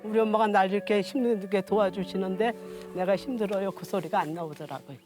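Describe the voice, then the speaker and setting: A woman's voice: mid-range voice, brisk. Older lady in her 60s just telling her life story, homely and plain, guitar music behind her. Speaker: interview guest. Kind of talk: personal storytelling in conversation.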